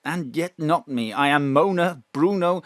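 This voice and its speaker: A theatrical stage star who lives as a woman and is now hunted and afraid, played by a man. The voice sits at a middling pitch and unfolds slowly, and it is recorded dry on a home studio mic. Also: dry recording, close to an everyday voice. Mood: grand. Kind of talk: audio drama acting.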